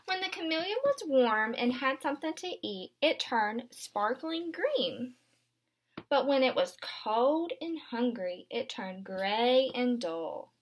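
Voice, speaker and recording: A woman's voice: high voice, lively intonation, slow pace. A woman in her twenties, a kindergarten teacher, reading a picture book aloud expressively and explaining it simply for young children. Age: 20s. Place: small furnished room indoors.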